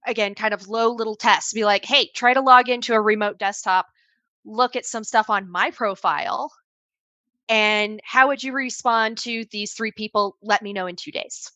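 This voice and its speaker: A woman's voice: mid-range voice, fairly even intonation, moderate pace, bright, forceful tone, dry recording. A woman on a video call giving conversational advice on training assistants, often acting out quoted examples. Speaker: panel guest advising on assistant training.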